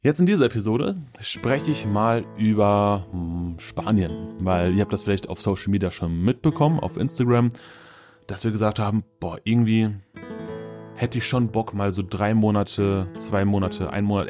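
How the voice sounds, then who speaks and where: A man in his thirties from Germany hosts his own podcast from his office, speaking in a low, relaxed register. He talks loosely and cheerfully, leaning on fillers as he goes. Gentle guitar music sits faintly in the background. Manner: chatty, loose and upbeat, many fillers. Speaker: podcast host. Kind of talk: casual solo podcast chat.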